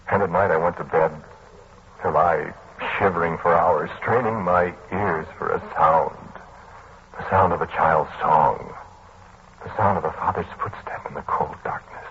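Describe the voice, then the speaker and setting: A muffled, faintly hissy vintage radio recording of a haunted narrator. His voice is low and agitated, and he tells his dark tale in deliberate, broken phrases with earnest drama. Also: fairly even intonation. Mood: haunted, brooding. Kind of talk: old-time radio drama narration, first person.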